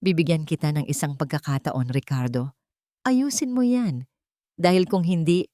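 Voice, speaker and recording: A woman narrator plays a powerful elderly father in an audio love story. He speaks with icy authority and menace, in a fairly low register with a dry, close sound. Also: moderate pace, mellow, no room sound, lightly acted. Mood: threatening. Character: cold, powerful old tycoon father, threatening and commanding.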